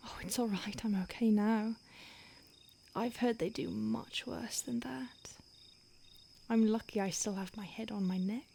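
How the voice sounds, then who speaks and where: Ancient secretive vampire woman, voiced by a woman, hushed whisper throughout, higher-pitched, faint insect noise behind. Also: measured pace, gravelly. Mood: hushed urgent. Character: ancient female vampire on the run, secretive, urgent. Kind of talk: audio roleplay.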